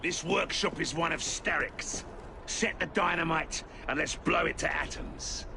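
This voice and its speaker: An actor playing a theatrical, scheming gentleman in a video game, coaxing in grand phrases over music. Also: fairly high pitch, measured pace, creaky, noisy background. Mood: conspiratorial, grandiose. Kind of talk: video game cutscene acting. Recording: loud music over dialogue.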